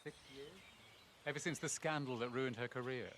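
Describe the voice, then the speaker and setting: A man's voice: medium pitch, steady tone, moderate pace, a crowd in the background, natural voice. An actor playing a glib male reporter in a cinematic game, chatting wryly. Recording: noisy ambient background.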